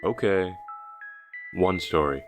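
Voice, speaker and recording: A man plays a gentle father in an audio drama. His voice is extremely deep and indulgent, set over tinkling mallet music. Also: takes it slowly. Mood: gently relenting. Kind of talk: horror audio drama acting.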